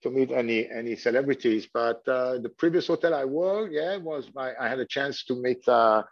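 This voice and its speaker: A man's voice: mid-range voice, moderate pace. A middle-aged man from Switzerland whose first language is French, answering interview questions with many fillers and restarts. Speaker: hotel general manager. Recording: dull lo-fi sound.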